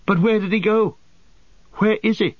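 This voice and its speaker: A man voicing a young, impatient and excitable hobbit in an urgent, exclamatory way, for a storybook. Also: a little high, normal speed, dry recording, narrow, tinny audio, pitched above the performer's own voice. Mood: anxious.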